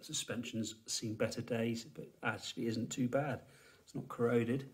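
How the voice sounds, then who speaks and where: A man's voice: mid-range voice, fairly even intonation, moderate pace. Man, forties, from England, dry and matter-of-fact, talking over a handheld camera in a garage. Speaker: car restorer, channel host. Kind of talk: walkaround commentary while filming. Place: indoor garage workshop.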